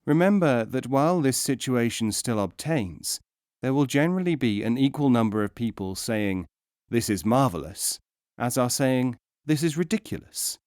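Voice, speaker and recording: A man's voice: medium pitch, expressive, normal speed, a little mellow, no echo. Dry, closely recorded male audiobook narrator. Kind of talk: scripted narration of philosophical teaching stories.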